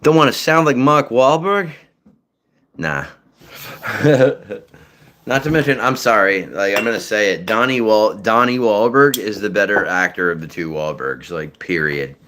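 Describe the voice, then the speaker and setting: A man's voice: fairly low pitch, lively intonation, a little slow, long pauses, fairly bright tone. A man from Massachusetts, a voice actor, chatting casually and rambling with lots of fillers and swearing. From Massachusetts, North Shore. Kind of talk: casual livestream chat.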